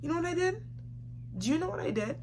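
A woman's voice: very high-pitched, very animated, fast talker, a little mellow. A young woman telling a story casually to camera, emphatic and slangy, repeating phrases for effect. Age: young adult. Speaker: vlogger. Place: small room indoors, seated facing camera. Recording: clearly noisy home recording.

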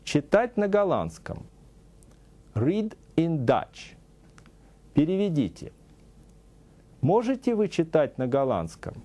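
A middle-aged man teaching a scripted TV language lesson, saying English example phrases slowly and deliberately, in a studio. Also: a little high, big pitch swings, warm tone. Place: TV studio with a news-desk set.

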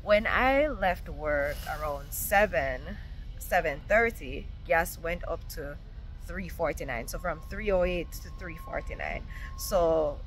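A woman's voice: on the low side, low-quality recording. A young woman with a Jamaican accent, chatting casually to a phone camera as she runs errands in her car and a warehouse store. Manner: chatty, rambling, explaining as she goes. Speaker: vlogger. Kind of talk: casual vlog narration. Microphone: handheld phone camera at arm's length.

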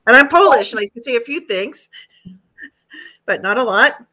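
Bright-voiced American woman, chatty. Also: a little high, expressive, a little slow, rough recording. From United States. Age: middle-aged. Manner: chatty, rambling. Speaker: host, home staging trainer. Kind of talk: casual chat. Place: indoor room at home. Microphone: video call.

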